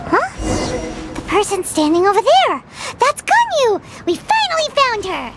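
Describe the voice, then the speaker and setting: A woman's voice: very high voice, huge pitch swings, unhurried, exaggerated voice. A woman voicing a tiny, chirpy female fairy companion who talks about herself in the third person. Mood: excited. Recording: game audio with loud background music.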